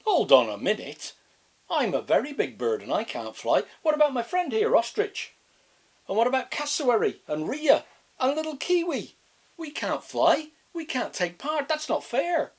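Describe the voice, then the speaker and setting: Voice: medium pitch, very animated, moderate pace, slightly rough, voice pushed up. A storyteller voicing a big, indignant emu complaining it cannot fly, in a children's fable.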